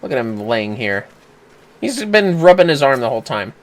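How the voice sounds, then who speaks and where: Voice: mid-range voice, big pitch swings, normal speed, slight background noise. A streamer chatting casually and playfully while playing a game, with some swearing.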